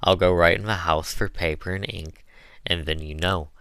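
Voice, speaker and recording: A man's voice: deep voice, steady tone, normal speed, fairly bright tone, clean tone, dry recording. A man voicing a cheerful, helpful young master of the house in an audiobook. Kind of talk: audiobook character voice.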